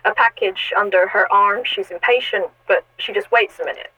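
An actress playing a nosy, excitable building machine who talks in a chatty, insistent and sassy way in an audio drama. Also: female voice, mid-range voice, moderate pace, husky, highs cut off. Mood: chatty, observant. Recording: very loud noise, radio-like clicks.

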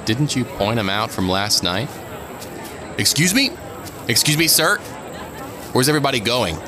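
An actor playing a cautious, hopeful police officer in an audio drama, earnest and questioning. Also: male voice, mid-range voice, expressive, moderate pace, a crowd in the background, lightly acted. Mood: curious, hopeful. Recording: outdoor city noise behind. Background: urban street ambience.

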